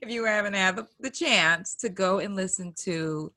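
A woman's voice: medium pitch, moderate pace, pushed and bright. A woman co-hosting a podcast with casual banter. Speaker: podcast co-host.

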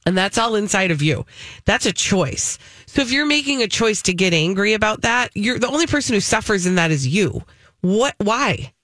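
A woman's voice: mid-range voice, sing-song, normal speed, a bit bright, no echo. An American woman co-hosting a casual radio chat, speaking directly and emphatically to listeners, often repeating her points. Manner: emphatic, direct address to listeners, conversational repetition. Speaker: radio show co-host.

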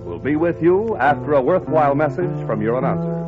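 A man's voice: on the high side, lively intonation, brisk. An American man introducing a show in 1940s radio announcer style. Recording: dull 1940s radio recording with music.

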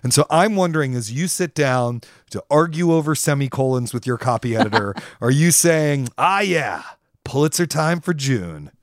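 A man's voice: mid-range voice, expressive, normal speed, no echo, clean studio sound. A man co-hosting a podcast in a casual, chatty and emphatic conversational style. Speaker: podcast co-host.

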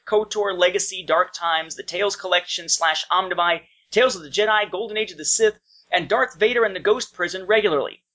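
A man's voice: high voice, bright, forceful tone. Male podcast co-host on dull audio. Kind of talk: casual podcast talk and reading listener feedback.

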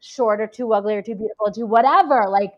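A woman's voice: medium pitch, fast talker, muffled recording. A woman actress chatting as an interview guest, talking freely with many fillers and restarts. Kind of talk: casual interview chat.

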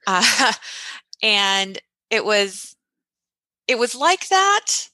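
A woman in her forties from the United States, who grew up in Texas, telling lively and reflective stories as a guest in an interview. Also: a little high, drawn-out words, no echo. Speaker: podcast host, guest. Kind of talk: casual interview storytelling.

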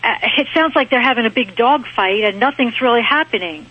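A woman's voice: a little high, expressive, brisk. A woman calling a radio show to describe her dog's behavior, explaining hesitantly and at length. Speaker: caller. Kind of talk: phone-in question. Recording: muffled phone line.

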